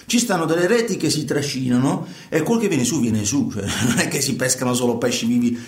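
A man's voice: mid-range voice, animated, a little mellow, lightly acted. Actor as a fisherman, roomy echo. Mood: matter-of-fact, conversational. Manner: colloquial, halting, repeats words and phrases. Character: troubled working fisherman recounting life at sea. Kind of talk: theatrical radio monologue in conversational storytelling.

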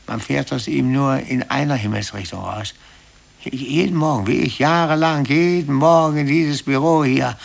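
Henpecked clerk, middle-aged, old radio play, really gravelly husky voice, keeps hesitating and repeating. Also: male voice, mid-range voice, exaggerated voice. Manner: halting, repeating himself. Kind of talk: 1950s German radio play acting.

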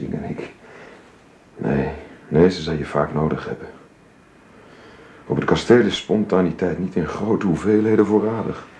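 A man's voice: sits low, darkish tone. A man playing a reserved, earnest father and employer who speaks formally and hesitantly in a radio drama with a roomy, hissy recording. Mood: hushed, pleading.

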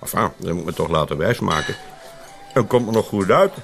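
A genial Flemish police colonel talks confidingly in a lowish, relaxed voice. He keeps a knowing, affable tone. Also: brisk, vocal fry, soft background music, heavily acted. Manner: affable, laughing, conspiratorial. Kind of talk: radio drama acting.

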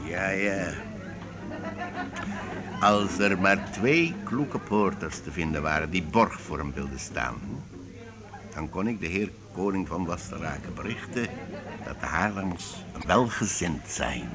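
A wily male troupe master in a radio play, genial and smooth-talking. His theatrical speech swings freely in pitch, with clear crowd noise behind him. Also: expressive, measured pace. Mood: sly, persuasive. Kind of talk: Dutch radio play acting.

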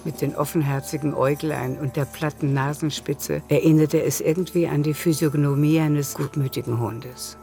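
A woman's voice: deep voice, fairly even intonation, normal speed, creaky, few pauses, mellow, soft background music. A woman reading scripted literary narration as the narrator of a radio drama.

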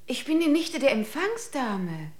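Coy young visitor, lilting voice. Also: female voice, high-pitched, very animated, a little slow, darkish tone. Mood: coaxing.

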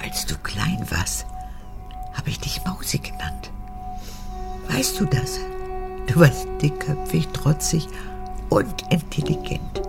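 An actress playing an old, manipulative and clingy mother, an unrepentant former camp guard, in a gravelly voice for a radio drama. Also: big pitch swings, slow, long pauses, over loud music, heavily acted. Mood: evasive, brooding, then pleading, clinging. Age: older. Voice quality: creaky, gravelly. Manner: erratic, swinging from whispers to shrill outbursts.

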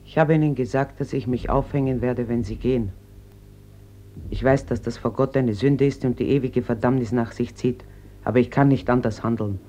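A woman's voice: very deep voice, moderate pace, warm tone, highs cut off. An actress playing a stern, embittered mother in a radio drama, speaking firmly and without yielding. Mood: anguished, resolute. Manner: firm, unyielding. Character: stern, embittered Spanish mother guarding her family. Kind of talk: classic German radio drama acting. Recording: noisy old radio recording.

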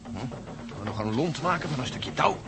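Eager adventurer over loud music. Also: male voice, mid-range voice, lively intonation, fast, vocal fry. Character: gung-ho male adventurer, eager and practical. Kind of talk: radio play acting.

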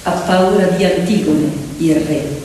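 A woman's voice: lowish voice, unhurried, slight background noise. A woman telling a story theatrically, emphatic, repeating words for effect. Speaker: stage actress and storyteller. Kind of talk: theatrical storytelling to piano accompaniment. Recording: echoey hall, distant mic, loud music. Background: piano music.

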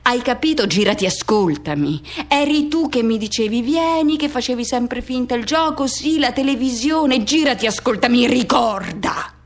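A woman performing a radio theatre monologue as an anguished, pleading lover recalling a forbidden teenage love, in urgent, halting phrases that insistently repeat. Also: on the high side, expressive. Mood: bitter, insistent.

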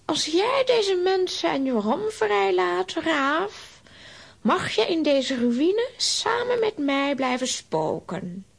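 An actress playing a commanding female ghost of a ruin in a children's audio drama, stately and deliberate. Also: very high-pitched, very animated, vocal fry, heavily acted. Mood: stern, bargaining.

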